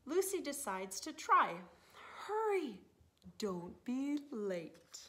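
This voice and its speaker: A woman in her thirties, a teacher reading a picture book aloud to young children in an expressive, animated manner with drawn-out words. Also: sits high, background noise. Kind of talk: expressive children's story time read-aloud. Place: living room, indoors on a sofa.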